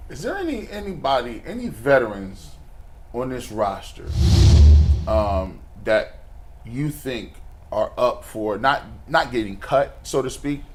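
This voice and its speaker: A man with a medium-pitched voice chats about sports as a panelist. He feels his way into questions in short, halting pieces, keeping everything casual. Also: very animated, noisy background.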